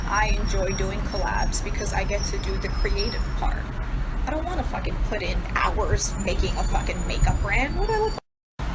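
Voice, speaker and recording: Brash, sassy young woman. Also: medium pitch. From New York. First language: Serbo-Croatian. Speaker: beauty influencer. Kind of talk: casual Q and A vlog. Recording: loud road noise.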